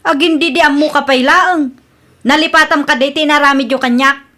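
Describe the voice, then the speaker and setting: A woman's voice: high voice, fairly even intonation, normal speed, pushed and bright. A woman acting in an Ilocano comedy radio drama as a stubborn, defensive younger cousin full of excuses, with an Ilocano accent. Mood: defensive, sulky.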